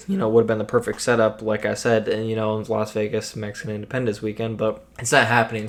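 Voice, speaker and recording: Young male fight pundit, monotone delivery, cracking voice, lots of ums. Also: medium pitch. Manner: rambling, many ums and you knows. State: voice keeps cracking. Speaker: boxing commentator. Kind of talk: casual solo prediction talk.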